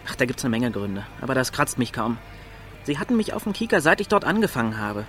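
A young man in a radio play, cocky and scheming. He pushes and goads in offhand slang, with resentment under it, at an ordinary pitch. Faint music runs behind him. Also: big pitch swings, fast, a bit bright. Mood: sullen, defiant. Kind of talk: naturalistic radio drama acting in German.

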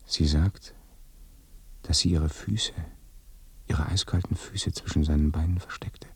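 An actor in a radio play voicing a confiding villager, with a husky, gravelly, breathy voice. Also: male voice, rumbling low, a little mellow, exaggerated voice. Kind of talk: radio drama acting.

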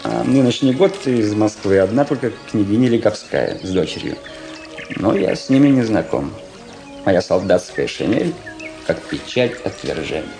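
Pompous, creaky-voiced young cadet. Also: male voice, on the low side, expressive, normal speed, mellow. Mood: bitter, self-pitying. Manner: pompous, affected, declamatory. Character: vain, theatrical, embittered young military cadet. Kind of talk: radio drama acting. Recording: radio play with prominent music behind. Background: music.

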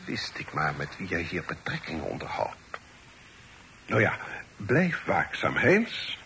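Commanding older magistrate, lively intonation. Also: male voice, low-pitched, vocal fry, exaggerated voice. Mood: stern, insistent. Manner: commanding, formal, measured. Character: authoritative, demanding older father and city magistrate. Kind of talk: radio play acting. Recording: faintly noisy old radio recording.

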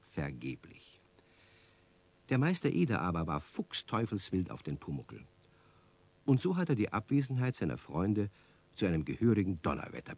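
A man narrating a children's radio play as a wry, knowing storyteller with a husky voice and measured delivery. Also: deep voice, darkish tone, heavily acted.

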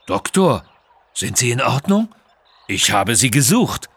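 Mann spielt einen entschlossenen Abenteuerhelden, leicht rau. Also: male voice, mid-range voice, big pitch swings, takes it slowly, vocal fry, a bit bright, husky, no echo, heavily acted. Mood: concerned. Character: decisive adventurer hero, protective man.

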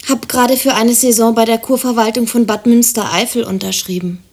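A woman's voice: a little high, steady tone, fairly quick, a little mellow. An actress playing a practical, hard-hearted, mocking woman in radio play dialogue, blunt and dry.

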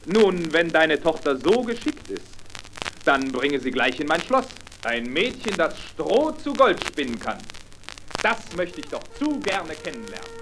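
A man's voice: fairly high pitch, very animated, measured pace, noisy background. A man playing a haughty, gold-greedy king in a fairy-tale radio play, imperious and condescending, drawing out his exclamations. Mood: curious, imperious.

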